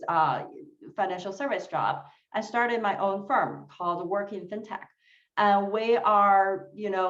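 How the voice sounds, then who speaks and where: A woman, originally from China, introducing herself on a panel, earnest and with frequent fillers. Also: mid-range voice. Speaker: panelist, fintech founder. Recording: distant, roomy, dull lo-fi call audio.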